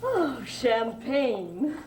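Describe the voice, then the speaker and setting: A woman's voice: high voice, extremely slow, over loud music, heavily acted. An actress playing a talkative, happy lady of the house who gushes as she speaks, from an old television soap opera. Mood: gushing. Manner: gushing, talkative, breathy with excitement. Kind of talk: 1970s soap opera acting.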